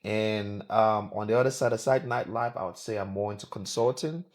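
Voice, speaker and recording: A man from Cameroon with a Cameroonian accent, speaking as a podcast guest in a halting, emphatic, repetitive way. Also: on the low side. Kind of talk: casual interview chat.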